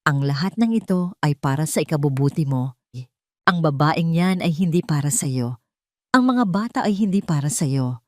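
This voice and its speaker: A woman's voice: low voice, lively intonation, normal speed, darkish tone, no room sound. A stern, wealthy older mother played by a woman narrator in an audio drama. She speaks in a hard, commanding, controlling way, recorded dry.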